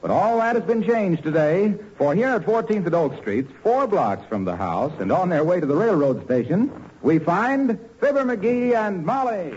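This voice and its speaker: A man's voice: high-pitched, big pitch swings, normal speed, hardly any pauses, a little mellow. A man working as a 1940s radio announcer, reading scripted copy with polished, cheerful salesmanship. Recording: dull, faintly noisy old radio recording.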